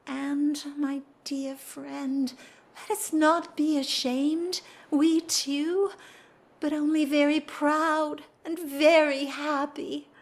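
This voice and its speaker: A woman's voice: high-pitched, flat delivery. An actress playing a dignified married society woman in love, speaking formally and earnestly in a period audio drama. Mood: tender, proud. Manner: formal, earnest, theatrical, measured delivery.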